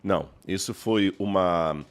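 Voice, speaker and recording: Low-voiced lecturing mineiro man. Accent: Mineiro Brazilian Portuguese. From Minas Gerais, Brazil. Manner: measured, lecturing explanations with rhetorical questions. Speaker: guest scholar. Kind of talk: explanatory podcast interview.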